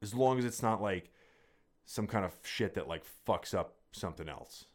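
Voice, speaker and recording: A man's voice: on the low side, animated, moderate pace, husky. A man in his thirties chatting casually in a rambling, informal, sweary way, like a podcast co-host. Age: 30s. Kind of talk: casual chat, profane.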